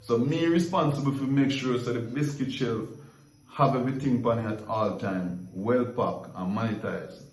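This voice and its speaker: A man's voice: medium pitch, normal speed, darkish tone, echoey room, distant mic, poor audio. A Jamaican man hosting a casual story-sharing chat, rambling and repeating words as he searches for them. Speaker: host.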